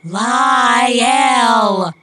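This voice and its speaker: A woman's voice: a little high, drawn-out words, bright, forceful tone, slight background noise, heavily acted. A woman playing an earnest, childlike and stubborn runner in an audio drama, with formal, literal, precise phrasing. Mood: stubborn, puzzled. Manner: formal, stilted, precise phrasing without contractions.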